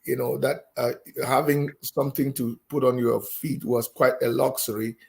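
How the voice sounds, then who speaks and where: A man's voice: medium pitch, steady tone, normal speed, vocal fry, darkish tone. A middle-aged man from Cameroon, a guest in an interview, telling his story with frequent hesitations and ums. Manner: hesitant, many ums and uhs.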